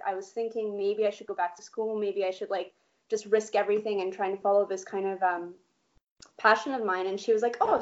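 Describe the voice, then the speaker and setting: A woman in her thirties, born in Poland, telling her career story in an interview in a chatty, lively way with casual swearing and frequent laughs. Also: on the high side, highs cut off. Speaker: guest animator.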